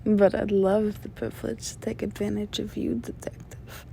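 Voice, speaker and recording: A woman playing a flirtatious, menacing female mob boss in an ASMR roleplay, sly and self-assured, with a soft, teasing, sultry delivery. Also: a little high, slight background noise. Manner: teasing, sultry, drawn-out delivery with veiled threats. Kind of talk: scripted ASMR roleplay monologue addressed to listener.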